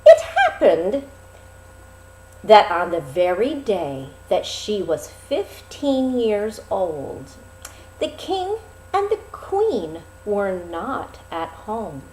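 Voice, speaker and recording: A woman narrating a fairy tale expressively, with dramatic, deliberate phrasing and pauses. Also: mid-range voice, huge pitch swings, darkish tone. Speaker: storyteller. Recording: roomy, echoing sound.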